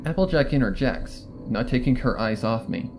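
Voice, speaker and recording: Man, medium-pitched voice, dramatic scripted storytelling, narrator acting out dialogue, music underneath. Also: lively intonation, normal speed, rough recording. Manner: acts out character dialogue. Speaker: fan fiction narrator. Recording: music behind the voice.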